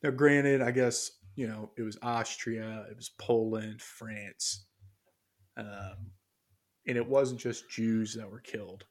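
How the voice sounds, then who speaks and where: Low-voiced man musing in rambling chat. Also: fairly even intonation, slow. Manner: rambling, hesitant with many fillers and restarts; muses aloud while reading from notes. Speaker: podcast co-host. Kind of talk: casual podcast chat.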